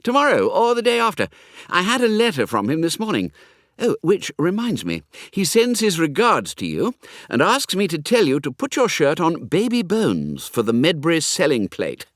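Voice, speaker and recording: A man voicing a breezy, chatty young gentleman with an upper-class English accent and slangy manner in a comic audiobook. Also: fairly high pitch, huge pitch swings, moderate pace, no room sound, clean studio sound, pitched above the performer's own voice. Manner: effusive, slangy, blithe. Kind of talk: comic audiobook character acting.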